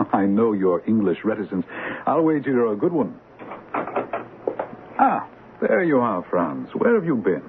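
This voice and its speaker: An actor playing a jovial, impulsive young monarch in a 1970s radio drama, with a hearty, regal manner. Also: male voice, lowish voice, big pitch swings, a little slow, long pauses. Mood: genial, expansive. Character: jovial, impulsive king about to be crowned. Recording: noisy narrow-band vintage radio broadcast.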